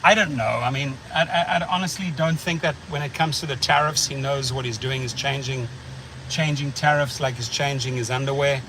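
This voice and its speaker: A man's voice: medium pitch, steady tone, fairly quick, a little hiss or hum, low-quality recording. A middle-aged man with a South African accent hosting a casual livestream chat, rambling and blunt, repeating words as he thinks. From United States. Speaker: channel host.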